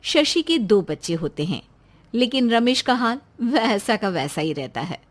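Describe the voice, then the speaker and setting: A woman's voice: fairly high pitch, big pitch swings, measured pace. A woman telling a scripted story as narrator, in the manner of a storyteller who also voices characters.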